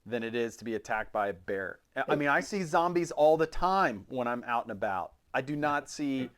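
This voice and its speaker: A man's voice: medium pitch, animated, normal speed, a bit bright. A man co-hosting a podcast with casual banter, often repeating words as he gets phrases started. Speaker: podcast co-host.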